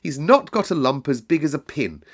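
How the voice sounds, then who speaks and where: Man acting an indignant young girl, raised pitch. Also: sing-song, moderate pace. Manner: urgent, insistent.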